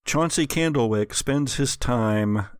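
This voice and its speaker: A man narrates a gothic horror tabletop game as game master. His pace is slow and deliberate, with descriptive, suspenseful phrasing, and the recording is close and dry. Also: medium pitch, dry recording.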